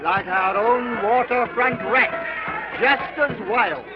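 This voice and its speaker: An actor playing a young gentleman at a fair who talks in a light, teasing way, on a noisy old film soundtrack. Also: very high voice, takes it slowly, lo-fi sound, over a noisy crowd. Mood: playful. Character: young male gentleman at the fair, playful and gallant.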